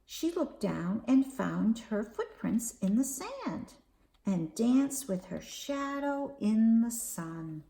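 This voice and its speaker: Slow sing-song storytime woman. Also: creaky. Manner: slow, sing-song and expressive, with big pitch swings. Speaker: children's storytime host. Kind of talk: reading aloud and leading rhymes for young children. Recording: echoey room with faint background hiss.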